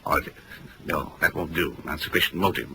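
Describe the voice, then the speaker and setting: An actor playing a pompous, inquisitive mystery writer, arch and erudite, in a 1940s radio drama style. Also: male voice, a bass voice, normal speed. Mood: arch, musing. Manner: arch, erudite, musing aloud. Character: pompous, theatrical male mystery author, inquisitive and condescending. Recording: crackly vintage radio drama recording.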